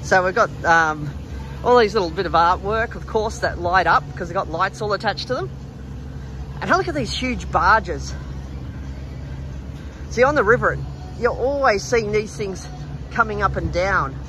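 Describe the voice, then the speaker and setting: Higher-pitched Australian man, casual vlogging. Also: animated, normal speed, pauses often, background noise. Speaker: travel vlogger. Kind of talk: casual walking vlog narration. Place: outdoors in an urban riverside park. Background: background music, outdoor city sounds.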